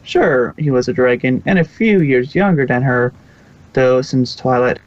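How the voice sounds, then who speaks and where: A man's voice: mid-range voice, a little slow. Man narrating a story, dull lo-fi sound. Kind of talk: amateur scripted story narration. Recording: dull, lo-fi home recording.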